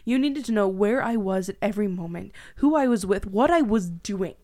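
A woman acting a hurt, angry young woman who confronts her dangerous ex in a dark audio drama, with raw emotion. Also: medium pitch, lively intonation, moderate pace, close to an everyday voice. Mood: angry, accusing. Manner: emotional, swinging between breathy whispers and outbursts.

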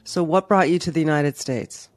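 A woman hosting a podcast interview, chatty and warm in manner, restarting her questions as she goes. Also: sits low, moderate pace, dry recording, soft background music. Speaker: podcast host.

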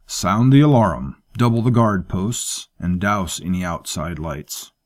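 A cruel cult master issues clipped, cold orders, as a male narrator voices him. His voice sits low and hisses with menace. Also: animated, measured pace. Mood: commanding.

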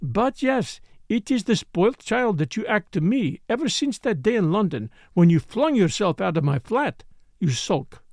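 A man's voice: high voice, very animated, measured pace, darkish tone, no room sound, studio quality, exaggerated voice. A man voicing a seductive, mocking Frenchwoman with a put-on French accent, in a teasing murmur. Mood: coaxing.

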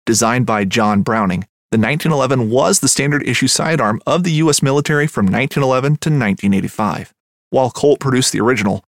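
A man's voice: mid-range voice, no echo, clean studio sound. Polished male ad announcer. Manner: measured, polished delivery. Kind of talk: scripted ad read.

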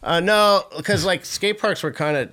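A man's voice: sits high, normal speed, sharp tone. A middle-aged man from Southern California, a former pro skater as podcast guest, telling stories in a rambling, laid-back way with lots of fillers and swearing. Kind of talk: casual interview chat.

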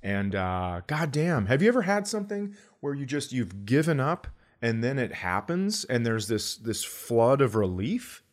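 A man hosting a comedy podcast, telling stories in an animated, grumbling, sweary way and acting out quotes. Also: mid-range voice, unhurried, few pauses. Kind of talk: comic storytelling in casual podcast chat.

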